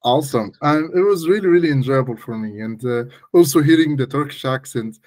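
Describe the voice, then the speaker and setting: A man's voice: medium pitch, brisk, a little mellow. Man, mid-range voice, guest tech expert, conversational, instructive, emphatic step-by-step phrasing. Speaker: guest malware analysis expert. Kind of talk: casual technical explanation.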